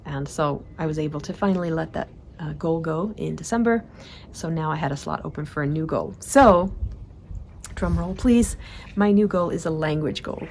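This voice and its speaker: Low gravelly woman vlogging. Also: a little mellow, slight background noise. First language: Swedish. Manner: chatty, frequent fillers and restarts. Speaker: productivity vlogger. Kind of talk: casual monthly report to camera.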